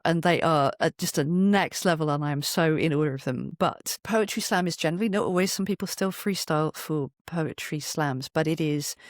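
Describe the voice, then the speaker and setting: A woman's voice: deep voice, no echo, studio quality. A woman in her fifties talking conversationally as an interview guest, articulate and chatty, often acting out quoted remarks. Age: 50s. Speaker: interview guest, performer and poet.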